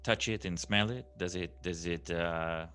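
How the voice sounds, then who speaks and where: A man with a deep voice takes part as a player in a tabletop roleplaying game. He speaks hesitantly, with faint music behind him. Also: unhurried, bright, forceful tone, clear, resonant tone.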